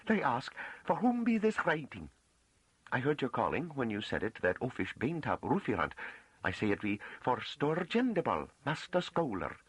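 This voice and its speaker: A young peasant farm woman, played by a man reading a story, speaks in a raised, breathy voice. She is bashful and earnest with a fierce streak, and her rustic, broken phrasing rises and falls freely. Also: mid-range voice, wildly swooping pitch, normal speed, dry recording, pitched above the performer's own voice. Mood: bashful, frightened.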